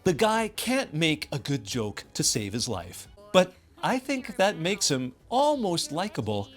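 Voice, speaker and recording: A man's voice: a little high, huge pitch swings, measured pace, fairly bright tone. A man giving casual commentary on a video game as a co-commentator.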